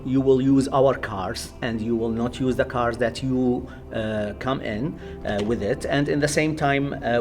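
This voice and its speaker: A man from Iraq with an Iraqi accent, a pastor giving personal testimony in a halting way, searching for words. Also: medium pitch, darkish tone.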